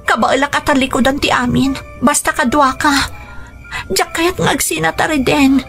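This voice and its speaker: A woman's voice: sits high, steady tone, normal speed, gravelly, fairly bright tone, soft background music. An actress playing a young woman in love who pleads earnestly, in a radio drama. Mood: urgent.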